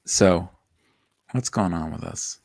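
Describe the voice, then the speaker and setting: A man's voice: deep voice, slow, darkish tone, full character voice. A man playing a cocky, defensive washed-up smuggler in a comedic script read. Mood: wry, indignant. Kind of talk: comedic live table read of a film script.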